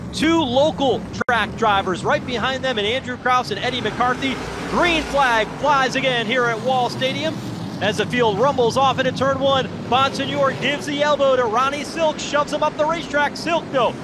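A man calling live play-by-play for a stock car race, with excited, rapid race calling. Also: very high-pitched. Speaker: race commentator. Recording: loud race-car noise underneath. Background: roaring race engines.

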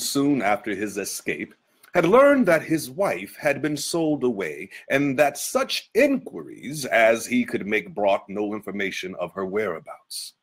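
A man giving a dramatic literary reading in a theatrical, deliberate manner with weighty pauses. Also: mid-range voice, breathy edge.

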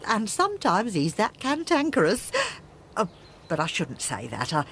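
An actress playing a deferential, gossipy housekeeper with an English accent in a British radio drama. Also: female voice, medium pitch, huge pitch swings, moderate pace, a bit bright, a little hiss or hum. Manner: gossipy, servantly politeness. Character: chatty, deferential female housekeeper, plain-spoken and motherly.

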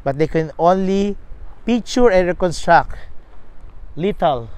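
Brief: thirties man, fairly high voice, tour vlogger reading markers aloud outdoors. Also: animated, a little slow, darkish tone, smooth. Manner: plain explanatory, reads signs aloud, self-corrects. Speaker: travel vlogger. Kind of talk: walking tour narration. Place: outdoors at a riverside historic site. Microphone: lapel mic, selfie camera at arm's length.

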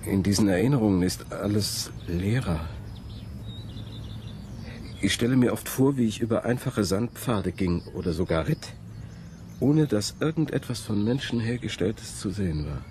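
An actor playing a troubled, intense young male student in a radio drama, speaking in a brooding, halting way. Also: fairly low pitch, lively intonation, darkish tone, a little hiss or hum. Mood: haunted, confiding. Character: troubled young male student haunted by his ancestor's memories. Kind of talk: German radio drama dialogue.

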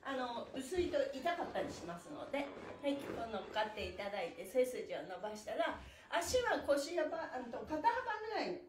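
A middle-aged woman leading a live stretching class in a cheerful, encouraging, animated way. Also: high voice, moderate pace, a little hiss or hum. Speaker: stretching instructor. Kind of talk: live-streamed exercise class with counted chants. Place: small indoor room with bare walls. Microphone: camera a few metres away. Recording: echoey room, mic at a distance.

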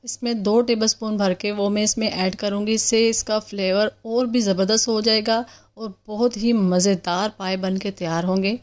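A woman hosting a cooking channel, calmly talking through a recipe step by step in a voice-over. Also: medium pitch, moderate pace. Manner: calm step-by-step instructions.

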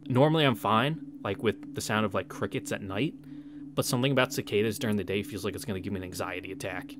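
A man's voice: fairly low pitch, fast talker, background noise. Wry young man reading a game. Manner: casual, wry asides with filler. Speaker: let's-play narrator. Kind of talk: reading a visual novel with commentary. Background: game music.

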